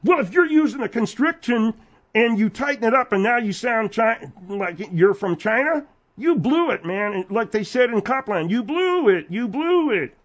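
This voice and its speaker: A man doing a high-voiced clueless sidekick. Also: sing-song, moderate pace, exaggerated voice. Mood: explaining.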